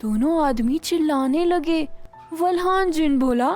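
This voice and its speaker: A woman narrating a scripted children's bedtime story in a gentle, slow way, addressing the kids. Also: high voice, soft background music.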